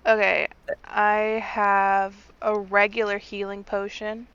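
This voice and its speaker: Bright, forceful woman player, lo-fi sound. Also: medium pitch, takes it slowly, smooth. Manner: excited, swears a lot. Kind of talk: playing a tabletop game, casual chat. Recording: dull lo-fi sound.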